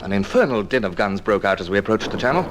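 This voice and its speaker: An actor playing a self-possessed naval commodore in an old-time radio drama, with clipped, understated delivery. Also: male voice, fairly low pitch, sing-song, fast talker, very noisy. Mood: measured, reflective. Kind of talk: old-time radio drama acting with first-person narration. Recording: vintage radio recording, music underneath.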